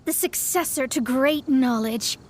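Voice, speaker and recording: A woman's voice: high-pitched, a little slow, a little hiss or hum. A woman playing a proud, learned young astrologer in a fantasy video game, speaking in a haughty, formal, theatrical way. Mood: lofty, self-assured. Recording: background music under studio dialogue. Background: music.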